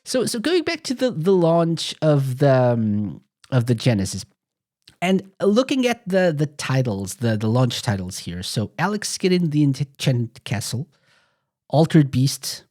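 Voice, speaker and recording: A man's voice: medium pitch, very animated, unhurried, a little mellow. Guy hosting a podcast, rambles and restarts, average voice. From Portugal. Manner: rambling, self-correcting storyteller. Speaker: podcast host. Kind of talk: casual chat.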